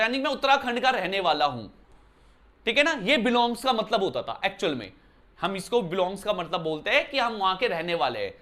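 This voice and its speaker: A man teaching maths in a bright, clear voice, talking to his students casually. He explains step by step and keeps asking them whether they follow. He is from Uttarakhand and records indoors. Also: high voice. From Uttarakhand, India. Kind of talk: classroom lesson explanation. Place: indoor room.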